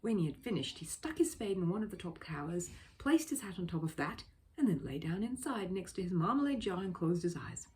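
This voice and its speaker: Animated woman reading a children's story. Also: on the low side, brisk. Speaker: storybook reader. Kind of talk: expressive children's story narration.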